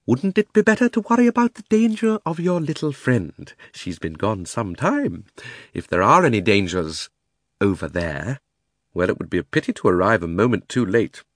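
A cruel, pompous old uncle from a children's storybook, a gentleman magician. His delivery is extremely animated, soaring with haughty indignation and sinking into condescension. The sound is dry and close. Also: lowish voice, moderate pace, no echo. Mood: condescending, forced ease. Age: older. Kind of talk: dramatised storybook reading.

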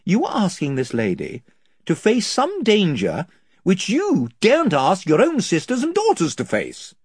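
Guy doing a calm young king, pointed, lightly husky. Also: high voice, unhurried, no echo, studio quality, pitched above the performer's own voice, full character voice. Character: composed young male king.

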